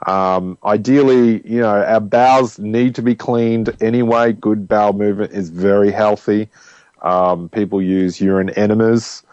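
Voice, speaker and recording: A man's voice: lowish voice, steady tone, a little slow, darkish tone, no echo, dull audio. A man speaking conversationally as an interview guest, explaining health ideas and telling personal anecdotes, emphatic when quoting others.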